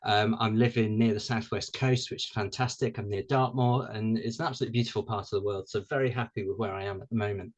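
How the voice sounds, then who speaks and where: A man's voice: on the low side, fast, few pauses. A young man from Wales, a guest author, chatting casually in an interview with many ums and restarts. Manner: relaxed, with frequent ums and restarts.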